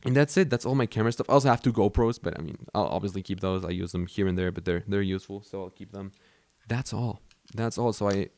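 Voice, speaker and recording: A man's voice: lowish voice, a little mellow, no echo. A young man from Mexico whose first language is Spanish, rambling casually about his camera gear with many fillers and restarts. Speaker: vlogger.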